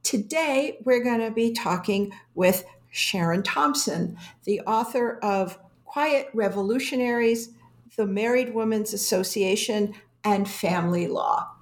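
A woman hosting a history book podcast, introducing and questioning her guest in a measured way. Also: medium pitch. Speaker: podcast host. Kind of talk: podcast interview.

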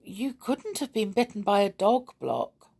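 A woman's voice: medium pitch, sing-song, a little slow, creaky, darkish tone, breathy edge, voice pushed up, full character voice. A woman voicing a timid, frightened wife in a storybook.

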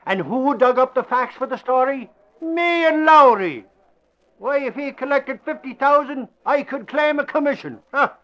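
An actor playing a brash, boastful, folksy newspaper reporter in a blustering old radio drama style. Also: male voice, very high voice, expressive, moderate pace, fairly bright tone. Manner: blustering, colloquial. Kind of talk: 1940s radio acting. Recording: dull lo-fi old radio recording.

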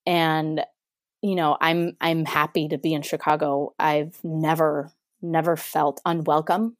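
Chatty mexican-born woman in her 30s, lowish voice, laughs a lot, dry close sound. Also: level tone, measured pace, no echo. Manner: chatty, laughs often, many restarts. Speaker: interview guest. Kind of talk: casual conversation.

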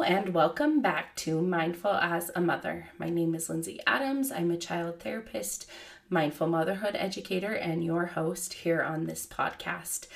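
Gravelly-voiced woman podcast host. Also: lowish voice. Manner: chatty, many fillers like "like" and "you know". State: slightly hoarse from a cold. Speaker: podcast host and child therapist. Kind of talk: casual solo podcast talk.

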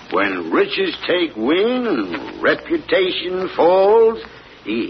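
An actor playing an old rural farmer on trial, talking in a slow, plain, earnest drawl. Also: male voice, medium pitch. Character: old farmer on trial, defending his hound. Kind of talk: 1940s radio acting. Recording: dull old radio broadcast, faint hiss.

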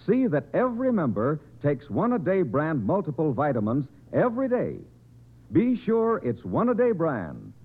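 Swooping, husky American radio announcer. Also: male voice, a little high, phone-quality sound. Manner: emphatic, formal sales delivery. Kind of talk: 1950 radio advertising announcement. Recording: thin, rough vintage radio recording.

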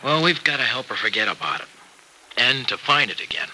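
A man's voice: mid-range voice, big pitch swings, fairly quick, pushed and bright, a little hiss or hum, rough recording. An actor in an old-time radio soap opera playing a folksy, generous American farmer who hesitates earnestly as he worries over an injured friend. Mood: concerned. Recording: vintage radio drama recording.

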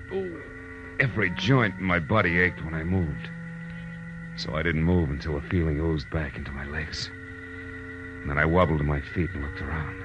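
An actor playing a tough, wry private detective in a vintage radio drama, dry and terse. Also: male voice, a bass voice, lo-fi sound. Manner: hard-boiled, dry, terse. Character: tough, wry male private detective narrating his case. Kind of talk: 1940s radio drama acting and narration.

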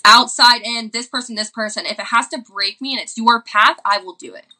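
A woman speaks with a strikingly bright, sharp voice, pitched a little high. She shares a personal testimony of faith in a casual style. Her delivery is earnest and impassioned, with words and phrases often repeated. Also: fairly quick.

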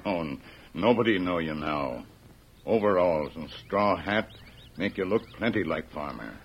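A muffled vintage radio recording carries a deep, husky-voiced sidekick. He is loyal and stoic, speaking in terse, halting pidgin English as in an old western serial. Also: lively intonation, heavily acted. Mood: stoic, helpful.

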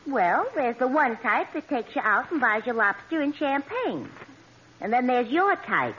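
Sarcastic new dame, high sharp voice, 40s radio comedy. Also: female voice, very animated, measured pace. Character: sarcastic man-weary working-class tavern dame. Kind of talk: 1940s radio comedy acting. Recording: dull, slightly noisy old radio recording.

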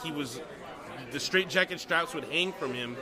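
Guy w a bit of a high voice chatting casually, lots of crowd noise. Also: normal speed. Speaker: podcast co-host. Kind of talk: casual chat, describing video games. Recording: busy crowd noise around the voice.

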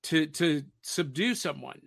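A man co-hosting a podcast in casual, nostalgic pop-culture chat, often pausing to search for his words. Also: a little high, animated, vocal fry, fairly bright tone. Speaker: podcast co-host.

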